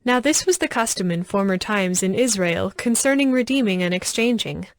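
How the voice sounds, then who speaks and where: A woman's voice: medium pitch, expressive, moderate pace, no echo, studio quality. A woman giving a scripted narration of scripture as the narrator of a dramatized audio production.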